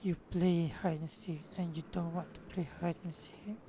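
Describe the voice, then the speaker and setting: A woman's voice: lowish voice, fairly even intonation, normal speed, mellow, a little hiss or hum, natural voice. A woman playing a dry, mildly teasing grown woman in an anime video game.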